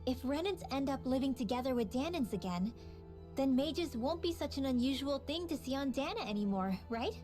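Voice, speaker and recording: A woman's voice: sits high, expressive, brisk, warm tone, faint music behind. An actress voicing an earnest, thoughtful young woman mage in a video game cutscene, speaking sincerely. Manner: sincere, reflective.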